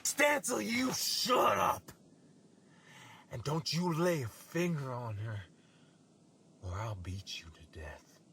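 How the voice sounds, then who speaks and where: A man's voice: a little high, big pitch swings, slow, vocal fry, pauses often, pushed and bright, slight background noise, exaggerated voice. A man playing an earnest, defiant cowboy suitor in an old western radio drama, with folksy, emphatic speech. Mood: angry.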